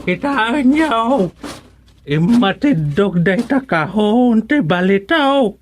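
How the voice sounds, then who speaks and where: An actor playing a grave village elder in an Ilocano radio comedy drama, with an Ilocano accent and deliberate, drawn-out phrasing. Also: mid-range voice, animated, a little hiss or hum. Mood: instructing.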